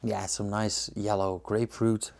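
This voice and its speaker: Male reviewer, Belgian accent, lowish pitch, informal and unscripted, hesitant and reflective delivery. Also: flat delivery, a little slow, gravelly, a little mellow. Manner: hesitant, musing, searching for words. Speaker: beer reviewer and host. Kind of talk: casual unscripted tasting commentary.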